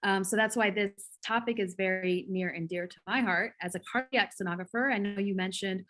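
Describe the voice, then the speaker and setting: A woman's voice: medium pitch, fairly quick, fairly bright tone. Chatty young woman on a video call. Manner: chatty, affirming, frequent fillers and laughs. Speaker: host, cardiac sonographer. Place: home room, indoors.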